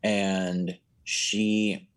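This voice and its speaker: A man's voice: low-pitched, little pitch movement, drawn-out words. A nineteen-year-old man chatting as a podcast guest, telling stories in a rambling way with many restarts. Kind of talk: casual chat.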